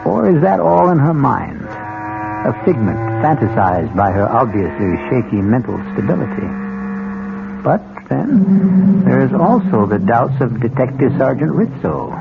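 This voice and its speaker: A man playing the suave, ominous host of a 1970s radio mystery, narrating in a deliberate, theatrical way. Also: medium pitch, very animated, gravelly, very noisy. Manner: deliberate, dramatic. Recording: narrow old radio recording, loud music.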